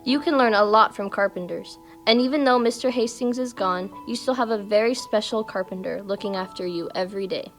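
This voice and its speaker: A woman's voice: mid-range voice, a little fast, few pauses, faint music behind, natural voice. A woman playing a tender, reassuring mother of a young boy in a children's puppet show.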